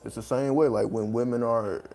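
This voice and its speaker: Man, deep voice, gravelly, mellow tone, casual profane monologue. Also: fairly even intonation. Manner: laid-back, profane, streetwise slang, drawn-out phrasing. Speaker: channel host.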